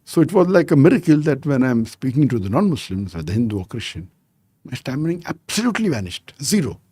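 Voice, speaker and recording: A man in his sixties telling anecdotes as a podcast guest, measured and sprinkled with "you know". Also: mid-range voice, sing-song, dark tone, husky, no room sound, clean studio sound. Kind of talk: conversational storytelling interview.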